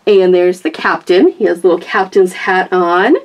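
A woman's voice: fairly low pitch, fairly even intonation, normal speed, slight background noise. A woman hosting a casual toy review, talking through it in an enthusiastic, gushing manner. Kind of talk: casual unboxing and demonstration chat.

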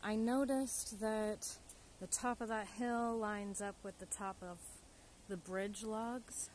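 A young woman vlogging outdoors in a forest, chatting casually to the camera in a relaxed, soft-spoken way as she thinks aloud. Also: mid-range voice, takes it slowly. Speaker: bushcraft vlogger. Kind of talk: casual vlog chat to camera. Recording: outdoor recording with clear background noise.

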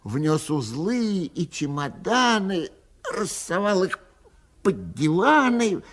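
A man reads a comic children's poem in a bouncy, sing-song rhythm. His voice sits fairly high and swoops widely, and he takes the lines slowly. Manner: lively, sing-song delivery with a recurring refrain. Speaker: narrator. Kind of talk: children's verse reading.

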